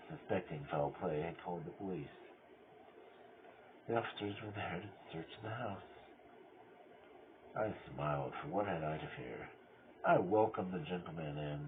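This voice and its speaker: A man playing a cunning, mad murderer who confesses in a theatrical gothic horror reading, swinging between hushed control and frenzy. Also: low voice, natural voice. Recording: tinny band-limited audio with clear noise.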